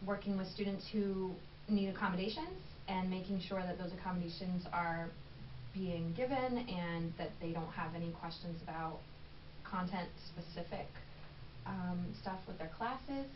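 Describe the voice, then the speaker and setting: A woman's voice: fairly low pitch, a little slow. Chatty woman, echoey distant recording. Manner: chatty and candid, with frequent ums and laughs. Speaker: podcast guest. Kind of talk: casual conversational interview. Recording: echoey room, distant mic, dull sound, faint noise.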